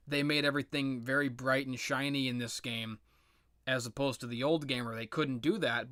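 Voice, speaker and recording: A man's voice: medium pitch, fairly bright tone, no echo, studio quality. A man giving casual, unscripted opinion commentary on video games in a blunt, sardonic, sweary way. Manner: blunt, sardonic, profane ranting. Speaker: video game commentator, host.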